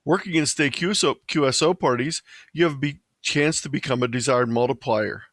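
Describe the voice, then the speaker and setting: A man narrating a scripted slideshow presentation in a measured, slightly halting way, stumbling over the odd word. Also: medium pitch, a bit bright, dry recording. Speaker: presenter of an amateur radio slideshow.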